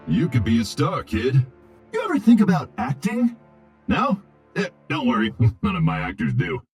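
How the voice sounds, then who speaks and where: Robot movie director, video game character, grumbling, vain, acid-tongued, theatrical, animated pitch, higher-set voice, music behind. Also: male voice, moderate pace, breathy edge, exaggerated voice. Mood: sardonic.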